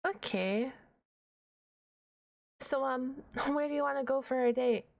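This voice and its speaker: A woman's voice: high-pitched, slow pace, gravelly, full character voice. A woman voicing a shy, nerdy, flustered half-dragon librarian in an ASMR roleplay, hesitant and rambling with awkward humour. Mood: shy, excited.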